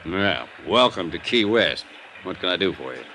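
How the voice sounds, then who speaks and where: An actor playing a gruff, blunt American newspaper editor with a sarcastic edge, in old radio drama style. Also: male voice, deep voice, expressive, moderate pace, bright, forceful tone, full character voice. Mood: blunt, wary. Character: bluff, red-faced male newspaper managing editor. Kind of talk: 1940s-50s radio acting. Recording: noisy old radio recording.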